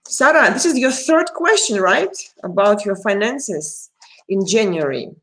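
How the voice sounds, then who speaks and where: A woman's voice: medium pitch, expressive, unhurried, a bit bright, poor audio. A woman with a Russian accent, a native Russian speaker, chatting casually on a live stream as a tarot reader, addressing viewers by name and laughing often.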